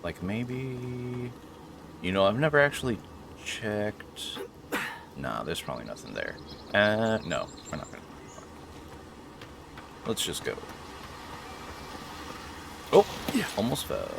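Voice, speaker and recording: A man's voice: lowish voice, very animated, slow pace, creaky, pauses often, noisy background. A man doing casual, joking let's-play commentary, chatting to himself and to game characters. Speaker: gaming streamer. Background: video game ambience.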